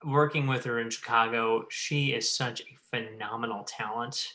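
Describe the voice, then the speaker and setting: A man's voice: medium pitch, steady tone, normal speed, sharp tone. A man chatting casually on a panel, speaking emphatically and repeating phrases for stress.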